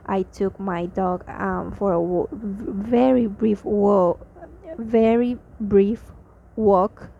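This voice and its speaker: A woman's voice: mid-range voice, steady tone, takes it slowly, vocal fry. A young woman from Mexico who speaks English with a Mexican accent, talking casually and hesitantly in a spoken diary, tired and drained, with many fillers and restarts. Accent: Mexican Spanish. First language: Spanish. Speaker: vlogger. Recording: slightly dull lo-fi audio with faint noise.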